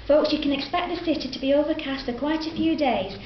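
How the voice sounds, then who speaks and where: A woman's voice: sits high, a little fast, lightly acted. An actress reading a grateful mother's phone message, a weather girl and a police dispatcher in a scripted audio drama. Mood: breezy. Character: young woman caller and weather girl. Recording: dull lo-fi roomy recording, faint hiss.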